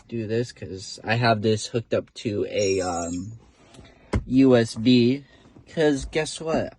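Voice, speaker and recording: A man's voice: medium pitch, mellow. A man talking casually from inside his car in his first vlog, rambling with lots of fillers and restarts. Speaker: vlogger, CB radio hobbyist.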